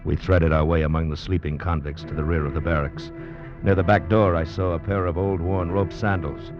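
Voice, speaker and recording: Casting: male actor as low-voiced, serious convict storyteller, deliberate and tense, old radio drama, prominent music underneath. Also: little pitch movement, close to an everyday voice. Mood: grave, suspenseful. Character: grave, steady male convict recounting his adventure. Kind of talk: 1940s-50s radio drama narration and acting. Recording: muffled old radio broadcast, music behind.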